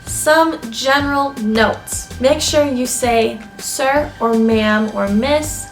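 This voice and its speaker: A woman's voice: a little high, slow. A young woman chatting informally through advice from her notes, explaining and listing points as a vlogger. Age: young adult. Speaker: vlogger giving interview tips. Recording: echoey room with background music.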